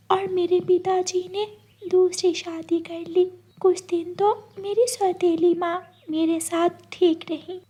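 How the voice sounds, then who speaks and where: A woman's voice: very high-pitched, mellow, pitched above the performer's own voice. A woman voicing a small, meek young girl bird with a plaintive, pleading manner in a cartoon.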